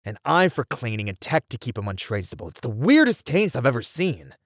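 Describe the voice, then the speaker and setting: A man's voice: medium pitch, big pitch swings, fairly quick, no room sound, clean studio sound. A man acting as a weary detective in an ASMR roleplay, musing conversationally over a murder case in a casual way. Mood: weary, determined. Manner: casual, musing, sighing delivery. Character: weary male detective puzzling over a serial killer case.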